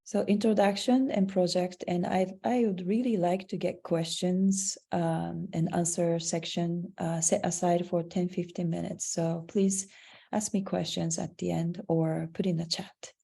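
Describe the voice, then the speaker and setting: A Japanese-born woman with a Japanese accent, an artist giving a halting online slideshow presentation over a video call. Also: lowish voice, fairly even intonation, vocal fry, mellow, slightly rough. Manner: halting, searching for words. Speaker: artist presenting her work.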